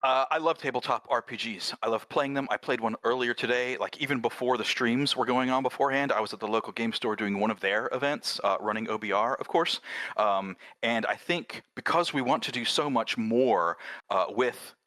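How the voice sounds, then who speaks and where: A man's voice: medium pitch, a little fast, pushed and bright, dry recording. A man who creates tabletop games, chatting casually and explaining his ideas in a conversational way. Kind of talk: casual panel chat.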